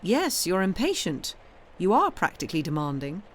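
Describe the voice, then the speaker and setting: A woman's voice: on the low side, big pitch swings, moderate pace. A woman reading as frank, guarded young woman. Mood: teasing. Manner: hesitant, frank. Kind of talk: dramatised audiobook reading.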